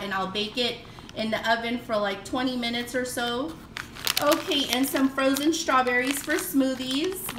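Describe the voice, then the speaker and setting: A woman's voice: on the high side, bright, forceful tone, a little hiss or hum. A middle-aged woman chatting casually through a home grocery haul, upbeat and listing items with frequent fillers, filmed on a handheld phone. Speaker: vlogger. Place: home kitchen, indoors. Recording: echoey kitchen room.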